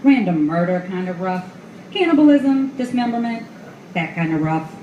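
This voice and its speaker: A woman's voice: medium pitch, big pitch swings, normal speed, warm tone, lightly acted. A woman acting in a noir radio play, voicing its brooding storyteller and haunting side parts. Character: story voices: narrator, alluring ghostly woman. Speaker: actress playing narrator and side parts. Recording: dull, echoey live recording, faint noise.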